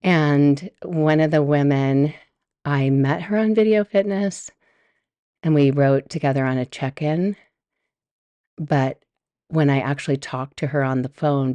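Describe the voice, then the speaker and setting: A middle-aged woman with a deep voice, hosting a casual solo podcast from a room at home, chatty and rambling with frequent laughs. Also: mellow, no echo. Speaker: podcast host.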